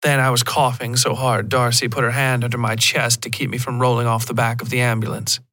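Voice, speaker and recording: A man's voice: mid-range voice, little pitch movement, a little fast, pushed and bright, no echo, clean studio sound. A man reading a scripted first-person horror story as the narrator of a fiction podcast.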